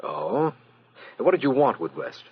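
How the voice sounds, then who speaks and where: Husky, stern lawman. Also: medium pitch, sing-song, unhurried, exaggerated voice. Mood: stern, pointed. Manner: formal, pointed, official. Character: stern, formal male city official questioning suspects. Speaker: radio drama actor. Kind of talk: old-time radio mystery acting. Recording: dull, muffled old radio recording.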